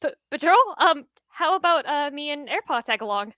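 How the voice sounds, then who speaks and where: A woman's voice: sits high, expressive, moderate pace, pushed and bright, dry recording, clean studio sound, pitched above the performer's own voice. A woman voicing a nervous young male warrior cat who stammers and fumbles for words. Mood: flustered. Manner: flustered, stammering.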